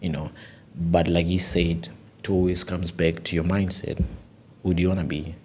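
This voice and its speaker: A man's voice: sits low, little pitch movement, moderate pace, a little mellow, a little hiss or hum. A young man talking earnestly and with animation in a casual podcast conversation, often saying "you know".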